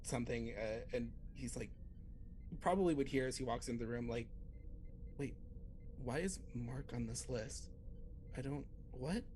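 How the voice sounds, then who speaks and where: A man's voice: mid-range voice, moderate pace, long pauses, darkish tone, slight background noise, low-quality recording. A man playing a tabletop role-playing game, improvising casually in hesitant, trailing phrases.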